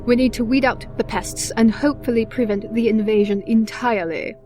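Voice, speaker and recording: A woman's voice: on the high side, fairly even intonation, a little fast, a little mellow, soft background music, lightly acted. A woman voicing a cool, formal pony official with a dry, businesslike way of talking in an audio drama. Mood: grave. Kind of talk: scripted audio drama acting.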